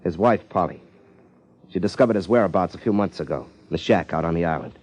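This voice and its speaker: Low-voiced, cornered small-time crook. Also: male voice, quick pace, a little mellow, husky, poor audio. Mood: confessing. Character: cornered small-time male crook, sullen, slow-witted impostor. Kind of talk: 1950s radio drama acting.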